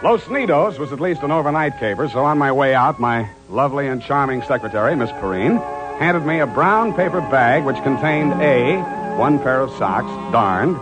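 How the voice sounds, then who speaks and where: An actor playing a wry, flippant hard-boiled private detective in 1940s radio drama style. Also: male voice, mid-range voice, expressive, moderate pace, natural voice, low-quality recording. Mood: wry narration. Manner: sardonic, flippant, narrating in dry mock-formal style. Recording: old radio drama recording with music.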